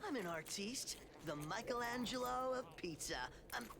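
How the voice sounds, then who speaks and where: Teenage turtle comic relief: goofy, dramatic surfer slang, very lively, cartoon game. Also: male voice, very high-pitched, moderate pace, gravelly, background noise, exaggerated voice. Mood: excited. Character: goofy laid-back pizza-loving teenage turtle surfer dude. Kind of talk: video game cartoon acting.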